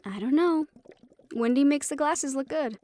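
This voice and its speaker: A woman's voice: high voice, moderate pace, warm tone, lightly acted. A woman playing a helpful, knowledgeable magic-shop keeper in a fantasy audio roleplay, explaining things with light teasing. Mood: playful teasing.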